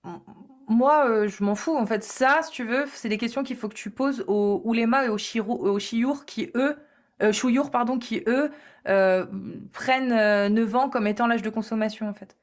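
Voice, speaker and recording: A woman's voice: a little high, normal speed. A woman hosting a live online debate, insistent and repetitive, with many fillers.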